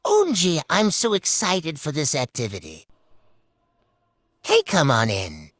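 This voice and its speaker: Low-voiced, very animated woman hosting. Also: unhurried, dry recording, clean studio sound. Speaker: puppet show host. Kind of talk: playful improvised show hosting.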